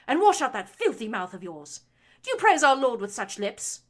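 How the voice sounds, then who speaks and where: A woman voicing a domineering, scornful noble mother who scolds her son in a shrill, loud tirade. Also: sing-song, normal speed. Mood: scolding. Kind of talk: audiobook reading of fan fiction.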